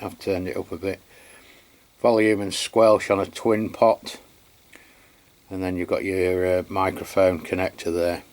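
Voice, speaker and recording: An Englishman giving an unscripted product review and demonstration, chatty and matter-of-fact, with a halting delivery. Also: on the low side. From England. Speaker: radio reviewer.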